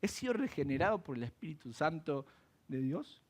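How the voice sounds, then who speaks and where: A man preaching a sermon in church, animated and asking rhetorical questions. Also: a little high, normal speed. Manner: animated, acts out quoted voices and asks rhetorical questions. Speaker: pastor.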